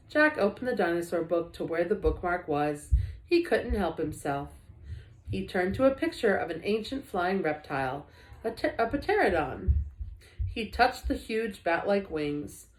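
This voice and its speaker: Echoey, animated woman reading a storybook. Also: on the low side, measured pace. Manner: animated, dramatic emphasis for young listeners. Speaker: teacher reading a children's book aloud. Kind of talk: expressive storybook read-aloud. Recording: echoey room.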